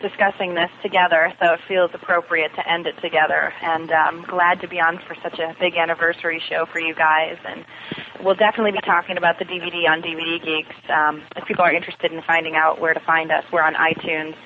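A woman's voice: sits low, level tone, fast talker. A woman chatting casually as a podcast guest on a remote call. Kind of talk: casual chat. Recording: dull, noisy remote call line.

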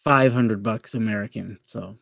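A man co-hosting a podcast in a low-key, conversational manner, chatting casually. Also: normal speed, vocal fry, a bit bright, dry recording. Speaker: podcast co-host.

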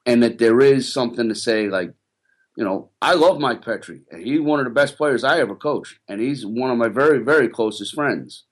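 A man who once coached rugby, ranting about player development on a casual podcast. He gets fired up and his voice climbs higher as he argues. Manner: passionate, ranting, rising pitch when worked up.

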